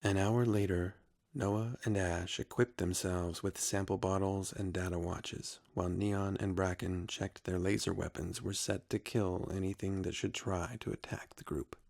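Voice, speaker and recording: A man's voice: low-pitched, steady tone, moderate pace, creaky, darkish tone. A man narrating a scripted adventure story.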